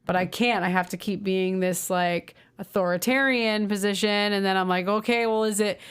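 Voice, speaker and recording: A woman with a smooth, clear voice at a middle pitch, chatting casually as a podcast co-host. She is encouraging and agreeable. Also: steady tone, normal speed. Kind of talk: casual chat.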